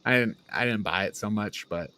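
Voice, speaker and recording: A man's voice: fairly low pitch, fairly quick, pushed and bright, no room sound. Man chatting casually in a dry recording. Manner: agreeable, informal, swears casually. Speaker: podcast host. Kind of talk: casual movie review chat.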